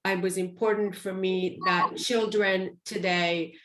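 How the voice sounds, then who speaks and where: A woman's voice: medium pitch, steady tone, unhurried, noisy background. Woman in an echoey room. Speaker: guest author of parenting and children's books. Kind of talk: conversational panel introduction. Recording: echoey room on online call.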